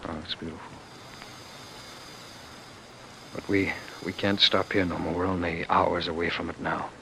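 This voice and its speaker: An actor playing a tender, desperate fugitive husband in old-time radio drama style, halting and stammering over his words. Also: low-pitched, flat delivery, sharp tone. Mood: tender, uneasy. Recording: noisy vintage radio drama broadcast.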